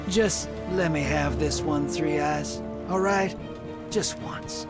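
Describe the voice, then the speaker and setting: An actor playing a cocky, streetwise rogue in a video game, with a wry, teasing drawl. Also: male voice, on the high side, takes it slowly, loud music behind the voice. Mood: smug. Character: cocky, smug male rogue with a streetwise streak. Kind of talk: video game cutscene acting.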